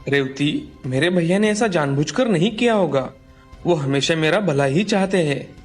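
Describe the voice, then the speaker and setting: A man playing a trusting, good-natured farmer father in an expressive, earnest storybook style. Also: on the high side, moderate pace, faint music behind, natural voice. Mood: earnest, gentle. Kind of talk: dramatized Hindi folk tale dialogue.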